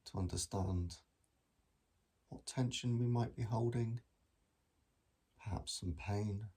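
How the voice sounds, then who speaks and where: A man guiding a meditation in a gentle, measured manner with long pauses between phrases. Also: lowish voice, slightly rough. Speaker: mindfulness meditation teacher. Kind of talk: guided body scan meditation.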